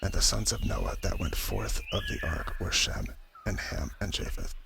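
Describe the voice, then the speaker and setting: A man narrating scripture in an ASMR-style Bible audio drama, with a creaky voice and a halting way with names. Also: big pitch swings, background noise. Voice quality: low, creaky voice. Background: music.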